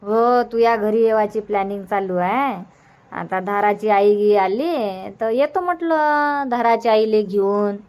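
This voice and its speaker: A woman's voice: medium pitch, measured pace, a little mellow, lightly acted. A woman voicing a chatty, practical village mother in a cartoon comedy, speaking with a Varhadi Marathi accent in a homely, repetitive way. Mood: chatty, explaining. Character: chatty, practical village mother, middle-aged or older, organising an outing.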